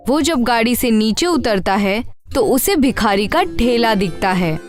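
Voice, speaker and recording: A woman narrating a scripted animated tale as a storyteller. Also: fairly high pitch, a little slow, faint music behind.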